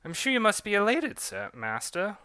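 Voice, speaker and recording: A man voicing a dutiful male servant with a put-on British accent, polite and soft-spoken. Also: high-pitched, wildly swooping pitch, moderate pace, smooth, no echo, voice pushed up, heavily acted. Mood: deferential.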